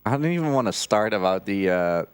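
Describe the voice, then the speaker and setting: A man giving casual, rambling live commentary as a speedrunner, halting at times while he plays. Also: fairly low pitch, lively intonation. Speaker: speedrunner commentating own run.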